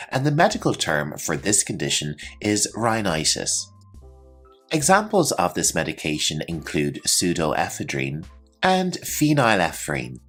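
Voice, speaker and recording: A man presenting a scripted English vocabulary lesson, explaining terms in a clear, instructional manner. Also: low voice, a bit bright. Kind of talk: scripted educational narration with example sentences.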